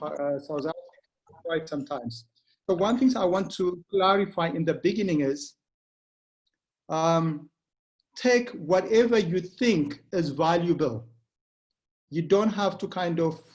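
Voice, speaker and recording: A man's voice: on the high side, a little mellow. A middle-aged man from Ethiopia, with an Ethiopian accent and English as a second language, giving an earnest motivational talk that halts and restarts often. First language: not English. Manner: earnest, halting with frequent restarts. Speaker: guest speaker. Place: Pretoria home.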